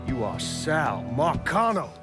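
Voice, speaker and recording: An actor playing a hot-headed, loyal mobster in a video game, brash, profane and spoiling for a fight. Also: male voice, on the high side, big pitch swings, slow, over loud music. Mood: defiant. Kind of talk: dramatic video game cutscene acting.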